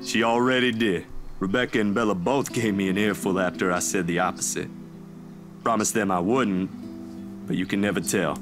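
Male actor as weary, troubled young friend in a game, low-pitched, guitar music underneath. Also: fairly even intonation, moderate pace, lightly acted. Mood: subdued, confiding. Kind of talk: visual novel game acting.

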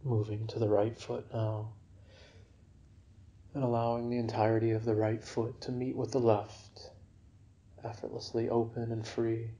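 A man guiding a yoga nidra relaxation for sleep in a gentle, unhurried, soothing manner. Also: medium pitch, little pitch movement. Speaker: meditation guide.

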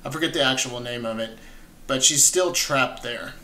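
A man's voice: mid-range voice, normal speed, sharp tone. A young man hosting a reaction video, commenting casually and enthusiastically, often rephrasing himself as he goes. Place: small indoor room at home.

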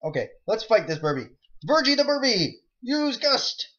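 A young man giving rambling, jokey commentary over a game he is playing, breaking into excitable outbursts. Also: sits high, normal speed. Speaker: let's play gamer. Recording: dull lo-fi mic.

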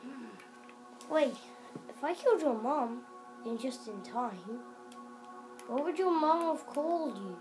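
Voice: high-pitched, animated, takes it slowly, long pauses, rough recording. A young-sounding gamer improvising a role-play commentary on a video game, rambling and exclaiming. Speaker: gaming video maker. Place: indoors at home, in front of a television. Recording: camera filming a TV, game music.

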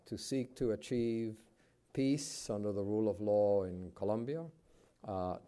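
A man in his sixties giving a formal introduction at a podium, with halting, deliberate phrasing. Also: lowish voice, mellow. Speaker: moderator, professor introducing a guest. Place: large auditorium stage, indoors. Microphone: podium microphones.

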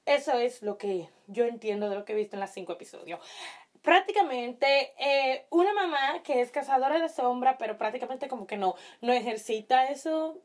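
A young woman from the Dominican Republic, raised in Italy, speaking Spanish with a mixed accent, chatting to camera in a small bedroom, often pausing to search for words. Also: high-pitched, expressive. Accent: Dominican Spanish with Italian influence. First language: Italian. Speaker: vlogger. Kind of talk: casual opinion chat to camera. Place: small bedroom with string lights.

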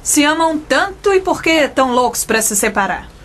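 High-voiced, bossy Brazilian mother. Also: lively intonation, moderate pace, fairly bright tone, slight background noise. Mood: firm. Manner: emphatic, nagging, sarcastic. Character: bossy, exasperated young mother hosting a dinner, Alzira. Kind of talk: comedic radio soap acting.

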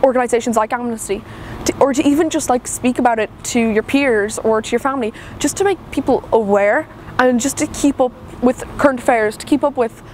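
An Irish teenage girl speaking quickly and earnestly in an interview. Her voice sits a little high. A noticeable hum runs behind her. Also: darkish tone, rough recording. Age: teens. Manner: quick, earnest, many fillers. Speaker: secondary school student. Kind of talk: interview soundbite.

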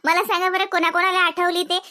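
A woman plays a dreamy, chatty young housewife from a comedy cartoon. Her voice sits very high and girlish, with a Varhadi Marathi accent from Vidarbha. She is giggly and theatrical, in a close, dry sound. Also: little pitch movement, a little fast, bright, forceful tone, no echo. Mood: relishing.

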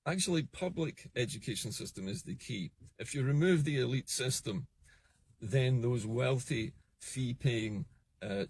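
A Scottish man in his fifties giving an earnest, measured political monologue to a phone camera from a parked car. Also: fairly low pitch, slight background noise. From Scotland. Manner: earnest, measured, emphatic on key points. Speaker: political commentator. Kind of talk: solo political vlog monologue. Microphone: phone camera at arm's length.

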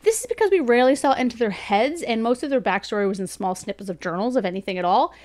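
A woman's voice: fairly high pitch, lively intonation, fast talker, darkish tone. A woman in her thirties giving a casual, chatty review as host, informal in manner and talking straight to the camera. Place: small indoor room lined with bookshelves.

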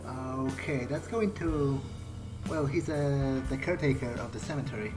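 A man narrates his gameplay in character at a medium pitch. His delivery is hesitant and musing, as if thinking aloud, with loud music behind him. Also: moderate pace. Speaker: gamer role-playing as his character.

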